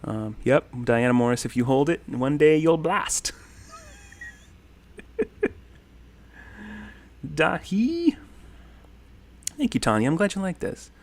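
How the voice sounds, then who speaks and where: A man's voice: fairly high pitch, big pitch swings, slow, long pauses. A man in his thirties hosting a casual livestream, rambling and thanking viewers as he reads their comments. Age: 30s. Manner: rambling, friendly, many ums and thank-yous. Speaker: livestream host.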